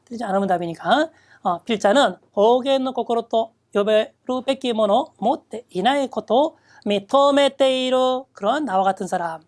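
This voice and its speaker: A woman lecturing on exam reading questions, reading Japanese phrases slowly and then explaining them step by step. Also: on the high side, darkish tone. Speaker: Japanese reading test instructor.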